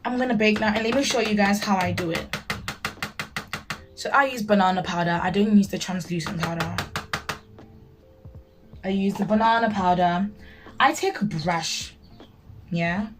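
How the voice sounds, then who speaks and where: A woman's voice: mid-range voice, moderate pace, pauses often, over music. A nineteen-year-old woman chatting casually to viewers as she does her makeup, blunt and emphatic, often repeating herself for emphasis. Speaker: beauty vlogger. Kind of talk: casual chat while doing makeup, answering viewer questions.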